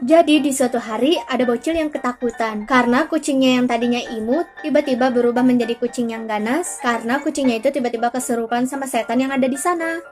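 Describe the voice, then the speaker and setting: A woman's voice: sits high, a little mellow. A young woman narrates short spooky story recaps in a casual, chatty way, speaking straight to viewers and stretching some words for emphasis. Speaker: storyteller and channel host. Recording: voice-over with faint music underneath. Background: faint background music.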